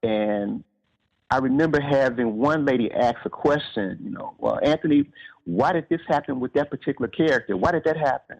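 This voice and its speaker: A man's voice: mid-range voice, normal speed, gravelly, low-quality recording. A man being interviewed as an author, telling stories conversationally and often repeating words as he thinks.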